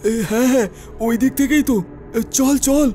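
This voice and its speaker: A man voicing a young male student, a teasing and questioning friend in an animated horror story. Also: very high voice, normal speed, faint music behind. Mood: alarmed.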